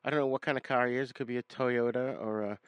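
A man's voice: medium pitch, fairly even intonation, fast talker, clean tone, no room sound. A man with a New England accent hosting a casual film discussion in a jokey, informal way. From New England, United States. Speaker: podcast host.

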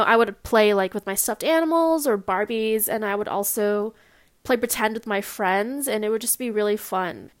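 A woman in her twenties speaks in a fairly high voice with a Californian American accent. She hosts a personal podcast alone, informal and candid with a touch of nerves. The recording is dry, without room echo. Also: clear, resonant tone. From Long Beach, California, US. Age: 20s. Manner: candid, informal, slightly nervous. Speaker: podcast host. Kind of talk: casual personal podcast monologue.

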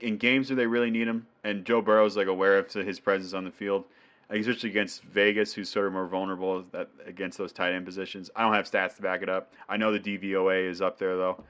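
New england guy rambling on a football podcast, kinda low, muffled audio. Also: a bit bright. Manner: chatty, laughs often, self-correcting. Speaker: podcast co-host. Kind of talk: casual sports podcast chat.

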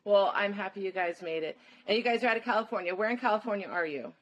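A woman's voice: mid-range voice, fast, a bit bright, dull audio, slight background noise. A woman hosting a podcast, interviewing a band in an easygoing, encouraging way. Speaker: podcast host.

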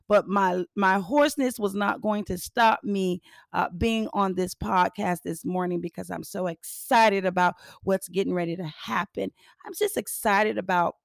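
A hoarse, raspy woman hosting a motivational podcast, repeating phrases for emphasis. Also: medium pitch, no echo, clean studio sound. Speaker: podcast host. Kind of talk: upbeat motivational podcast talk.

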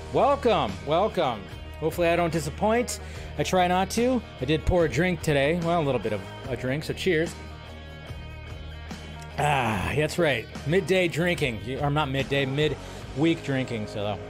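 A man hosting a livestream in a casual, rambling, informal way, chatting to viewers and repeating his phrases. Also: mid-range voice. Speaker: livestream host. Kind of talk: casual livestream chat, greeting viewers and reading comments.

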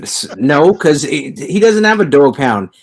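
A man's voice: a little high, sing-song, measured pace, gravelly. A man hosting a casual podcast, teasing his co-hosts and laughing often. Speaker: podcast host.

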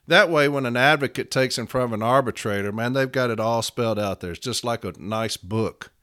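A middle-aged man, hosting a casual podcast, voice hoarse and worn out, talking bluntly and rambling with many fillers. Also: mid-range voice, fairly quick, a bit bright, dry recording, clean studio sound. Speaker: podcast host.

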